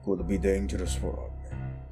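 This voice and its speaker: A man voicing a grim, cautious undead knight henchman in a fantasy audio drama, terse and measured. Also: deep voice, gravelly, music in the background. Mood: grim, wary.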